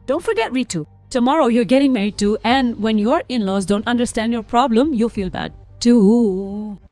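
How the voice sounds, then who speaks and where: High-pitched aggrieved young daughter-in-law, acted by a woman. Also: moderate pace, darkish tone, soft background music, natural voice. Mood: reproachful. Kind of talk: dramatic cartoon story dialogue.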